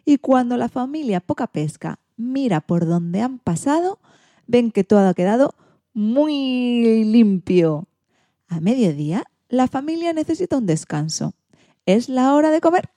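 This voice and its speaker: A woman reading a children's storybook in an expressive, theatrical way. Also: on the high side, unhurried, no echo. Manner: theatrical, acts out sound effects and exclamations.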